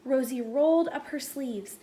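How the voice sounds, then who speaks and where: A woman reading a rhyming children's story aloud in an expressive narrating style. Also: sits high, unhurried. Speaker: storybook reader. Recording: faint background noise.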